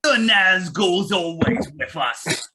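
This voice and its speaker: A man playing a crazed, grieving old steward lord in a fantasy script read, with a strained raspy voice and theatrical, bitter delivery. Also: high voice, animated, slow, music in the background. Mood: bitter, accusing. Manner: theatrical, declaiming.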